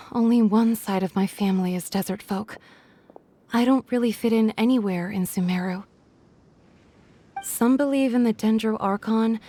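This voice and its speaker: A woman's voice: medium pitch, mellow, natural voice. A woman voicing a timid, guarded young village woman in a video game, speaking hesitantly and politely. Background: music.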